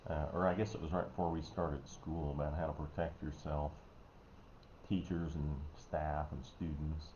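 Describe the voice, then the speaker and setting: A man with a Missouri American accent, giving a casual health talk online in a folksy, rambling way, often clearing his throat. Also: deep voice, dark tone. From Missouri, United States. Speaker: host, health educator. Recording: dull, roomy, noisy home recording.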